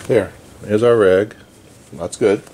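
A man giving a relaxed, chatty hands-on repair tutorial at his indoor workbench, talking to the camera while he works. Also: fairly low pitch, slow pace, slight background noise, poor audio. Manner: relaxed, chatty, frequent "okay?" and "um". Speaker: vintage radio restorer.

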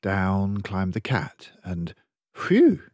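An older man reading a children's storybook aloud in an expressive, measured, dramatic way. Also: medium pitch, dry recording, dull audio.

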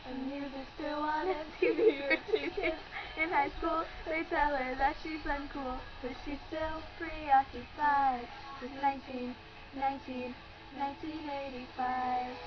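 High-pitched young woman singing along, lo-fi. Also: steady tone, a little slow. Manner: playful, giggly. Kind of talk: amateur pop-rock sing-along. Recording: dull lo-fi home recording with loud music. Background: pop-rock song playing.

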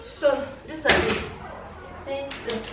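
A woman's voice: sits high, takes it slowly, over loud music. A woman in her thirties chatting casually for her vlog while packing food at home. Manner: chatty, laughs easily, counts aloud. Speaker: vlogger. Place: large tiled living room, indoors. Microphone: far camera. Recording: echoey room from far off, noisy.

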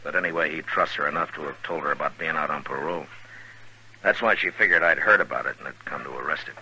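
An actor playing a steady, laconic frontier marshal in 1950s radio drama, with measured, understated delivery and hesitant pauses. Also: male voice, rumbling low, heavily acted. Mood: thoughtful. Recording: noisy vintage radio recording.

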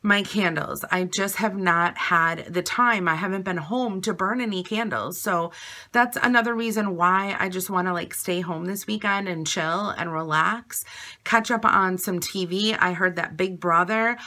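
A woman in her forties vlogging casually to camera from a dim bedroom, her voice raspy and airy from asthma, rambling with frequent ums. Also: fairly low pitch, a little slow, bright, forceful tone. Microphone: webcam or phone at arm's length.

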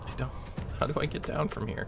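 Gravelly man commenting on a game. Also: fairly high pitch, fast, noisy background. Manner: casual, wry, thinking aloud. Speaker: let's play host. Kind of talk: casual gameplay commentary. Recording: voice over loud game music.

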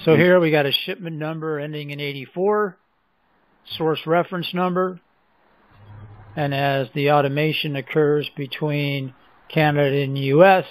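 Higher-pitched man narrating a software demo. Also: no room sound, rough recording. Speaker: presenter of a software demonstration. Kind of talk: scripted product demo narration.

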